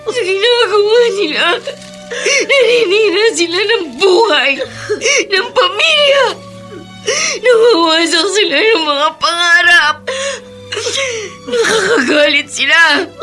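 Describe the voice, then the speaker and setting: A very high, bright-voiced young woman, crying and raging in slow, drawn-out words. It is radio drama with music under the voice. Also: expressive, exaggerated voice. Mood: anguished, furious. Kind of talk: Filipino radio drama acting.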